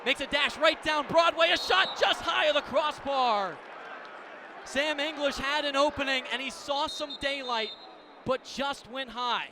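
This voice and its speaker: High-pitched male play-by-play announcer, noisy background. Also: unhurried, crowd noise. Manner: clipped present-tense phrases, rising to excited calls. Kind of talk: live lacrosse radio commentary. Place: live broadcast at a sports venue. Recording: noisy outdoor stadium ambience.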